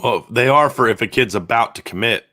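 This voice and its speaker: A man with a sore, hoarse throat co-hosting a live football podcast, chatting through viewer questions and often restarting his sentences as he thinks aloud. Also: fairly quick, bright, forceful tone, no echo, clean studio sound. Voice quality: deep voice. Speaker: podcast co-host and football analyst. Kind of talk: casual live-stream chat answering viewer questions.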